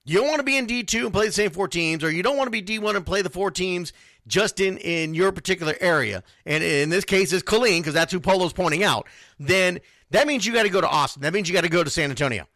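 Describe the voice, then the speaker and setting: A man's voice: high voice, quick pace, bright, forceful tone, no room sound. A man hosting an unscripted sports talk show, answering listeners in a blunt, emphatic way and repeating phrases for stress. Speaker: sports radio show host.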